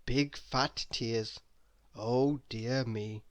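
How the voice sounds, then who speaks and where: A woman reading a children's story aloud, expressive and deliberate, with dramatic emphasis. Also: very deep voice. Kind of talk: children's read-aloud narration.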